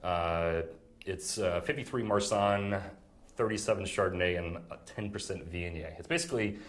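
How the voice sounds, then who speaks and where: A man, a winemaker answering interview questions in an easygoing, halting way with many pauses. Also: sits low. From southern United States. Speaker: winemaker guest. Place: indoor room with air conditioning.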